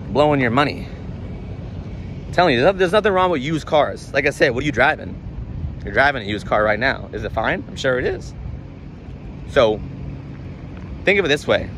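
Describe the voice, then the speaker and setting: A young man talking off the cuff in a casual vlog, blunt and emphatic, repeating himself to drive points home as he walks outdoors. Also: mid-range voice. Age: young adult. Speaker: car vlogger giving money advice. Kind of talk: casual unscripted vlog rant. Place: outdoors, walking while recording. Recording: outdoor recording with street noise.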